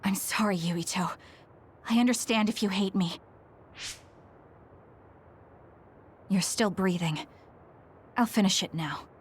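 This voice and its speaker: A woman's voice: mid-range voice, normal speed, husky. A woman playing a determined, anguished young psychic soldier in a dramatic video game, speaking with grave, introspective resolve. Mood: weary, remorseful.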